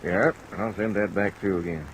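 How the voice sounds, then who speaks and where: An easygoing small-town lawman from an old Western-set radio drama. He talks in a rustic, plain-spoken way at a fairly low pitch, with faint rain noise over the vintage recording. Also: steady tone, a little fast. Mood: thoughtful, affable.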